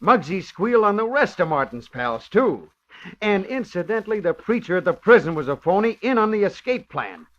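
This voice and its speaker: Eager, high-voiced slangy reporter. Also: male voice, very animated, normal speed, a bit bright. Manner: breezy, slangy. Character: eager, slangy young tough-talking newspaper reporter. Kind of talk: 1940s radio acting. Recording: muffled old radio recording.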